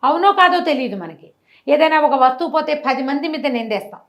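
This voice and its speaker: A woman's voice: sits high, brisk. A woman telling a moral story conversationally, acting out dialogue and drawing lessons with rhetorical questions. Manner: animated, didactic, acts out dialogue with rhetorical questions.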